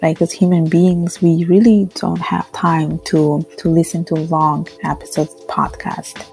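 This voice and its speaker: A woman's voice: sits low, flat delivery, measured pace, gravelly, darkish tone, faint music behind. A woman from Italy answering interview questions as a podcast guest in a conversational way. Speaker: podcast guest working in finance.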